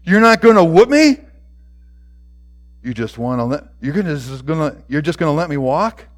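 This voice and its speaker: A man's voice: on the high side, quick pace, close to an everyday voice. A man voicing a defiant, emotional young boy rebel in a dramatic story reading. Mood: puzzled. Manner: insolent, emotional, loud.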